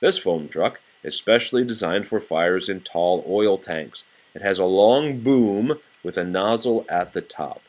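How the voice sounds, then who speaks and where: A man's voice: low voice, measured pace, hardly any pauses, darkish tone. A man reading a nonfiction children's book aloud to a child in a plain, explanatory way.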